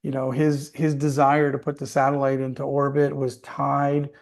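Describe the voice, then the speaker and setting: A man's voice: mid-range voice, completely flat delivery, normal speed, creaky. A man speaking as a company executive, answering questions off the cuff on an earnings call and repeating phrases for emphasis.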